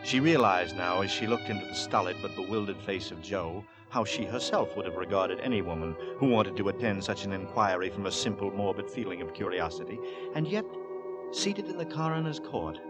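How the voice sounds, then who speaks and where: A man narrating a horror tale as a grave, suspenseful storyteller in measured, literary 1940s radio style. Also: lowish voice, animated, loud music behind the voice, lightly acted, rough recording.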